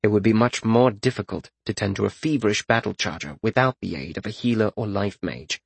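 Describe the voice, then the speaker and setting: A man voicing a calm, laconic fighter and healer in a fantasy audiobook, with an understated, measured manner. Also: on the low side, gravelly, breathy edge, no echo. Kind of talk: audiobook fantasy character acting.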